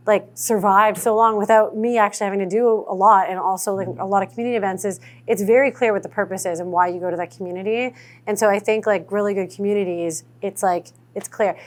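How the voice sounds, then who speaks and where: A woman venture investor answering audience questions in casual, chatty talk, filling her speech with "like" and acting out other people's lines. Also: a little mellow. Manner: chatty, heavy use of "like" fillers; acts out founders' lines in a higher voice.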